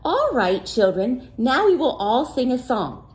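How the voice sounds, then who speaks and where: A woman's voice: fairly high pitch, big pitch swings, a little slow, voice pushed up. A woman voicing a firm but kindly schoolteacher in a children's storybook, instructing her class. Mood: encouraging.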